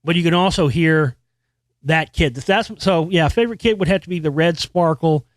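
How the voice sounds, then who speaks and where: A man's voice: normal speed, dry recording. A man hosting a podcast alone, talking in a loose, rambling way with restarts and casual swearing. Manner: experienced singer with a high singing voice. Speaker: podcast host. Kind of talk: casual solo monologue about music.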